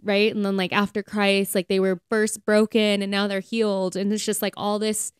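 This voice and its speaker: A woman's voice: mid-range voice, little pitch movement, moderate pace, clean tone, no room sound, studio quality. A woman co-hosting a faith podcast, talking in a casual, earnest, conversational way peppered with "like". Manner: conversational, earnest, filler-heavy with frequent "like". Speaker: podcast co-host.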